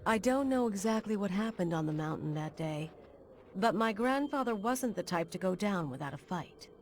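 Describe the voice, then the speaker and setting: A woman playing a reflective frontier woman who narrates her family saga in a measured storytelling manner for game cutscenes. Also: medium pitch, animated, a little hiss or hum, close to an everyday voice. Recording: music behind the voice.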